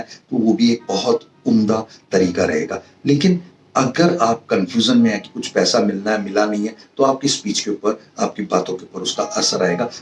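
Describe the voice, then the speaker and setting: A man gives an earnest, didactic motivational lecture, pausing often and repeating key phrases, mixing in English terms. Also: on the low side, a little hiss or hum. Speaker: professor giving a motivational talk. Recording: roomy, distant mic, faint music behind.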